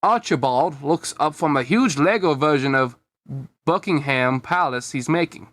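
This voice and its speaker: A man's voice: on the high side, unhurried, fairly bright tone, no echo. A man reading a fan fiction story aloud casually, often breaking off to comment on it with a chuckle. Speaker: amateur fan fiction reader.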